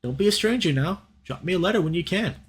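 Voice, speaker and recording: A man voicing a big, warm, fatherly bear who runs a restaurant, speaking in a folksy, affectionate way for a visual novel. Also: sits high, animated, quick pace, breathy edge, pitched above the performer's own voice, full character voice.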